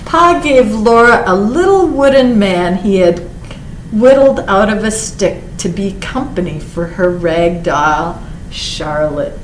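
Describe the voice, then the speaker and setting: Slow-paced woman reading a children's story, roomy echo. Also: mid-range voice. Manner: expressive storyteller with drawn-out words and short asides. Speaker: library storytime reader. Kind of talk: children's picture book read-aloud. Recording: roomy echo with faint background noise.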